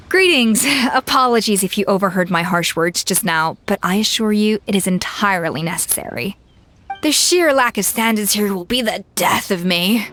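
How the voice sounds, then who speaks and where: A woman voicing a haughty, perfectionist celebrity chef in a video game, with a sharp, formal and severe manner. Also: mid-range voice, lightly acted.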